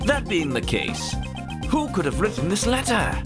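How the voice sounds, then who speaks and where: A man's voice: high-pitched, very animated, normal speed. Playful man streaming a game. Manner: playful, often reads game text aloud and shouts catchphrases. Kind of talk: casual gaming commentary. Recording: game music behind the voice.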